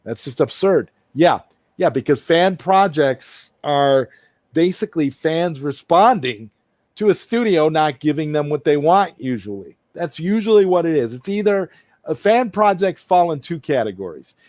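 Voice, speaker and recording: Higher-voiced man hosting a casual livestream. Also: lively intonation, normal speed, a little mellow, no echo, clean studio sound. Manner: repeats and rephrases phrases as he thinks aloud. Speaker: livestream host. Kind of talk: casual livestream commentary, reading out and answering chat comments.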